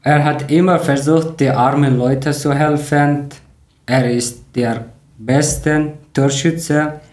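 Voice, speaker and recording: Mann aus Afghanistan als Podcast-Moderator, vorsichtiges Lerner-Deutsch. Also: male voice, a little high, fairly even intonation, darkish tone, roomy sound. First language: not German. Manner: careful, learner grammar, slightly halting. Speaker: podcast host. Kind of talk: reading prepared talk in learner German.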